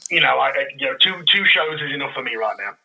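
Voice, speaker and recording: A man's voice: mid-range voice, lively intonation, quick pace, creaky, bright, forceful tone, poor audio. A man speaking as a podcast guest and audio drama creator, chatting casually and rambling through his explanations. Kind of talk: casual interview chat.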